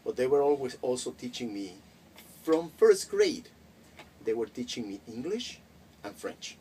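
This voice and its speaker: A middle-aged Mexican-born man whose first language is Spanish, recalling his schooling in a relaxed interview with frequent hesitations and restarts. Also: mid-range voice, unhurried. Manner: reflective, many hesitations and restarts. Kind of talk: casual interview. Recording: distant mic with faint noise.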